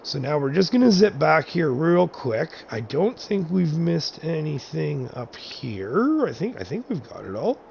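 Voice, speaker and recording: Gravelly man giving a rambling game tour. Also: fairly high pitch, sing-song, unhurried, hardly any pauses, darkish tone, slight background noise. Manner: rambling, self-correcting, chuckles at himself. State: slightly raspy from chest congestion. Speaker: gaming channel host. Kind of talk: casual game map tour commentary.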